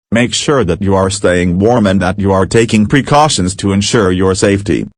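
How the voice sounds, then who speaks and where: A man's voice: on the low side, dry recording, close to an everyday voice. A man playing a laid-back local radio announcer, giving a scripted, informal weather update.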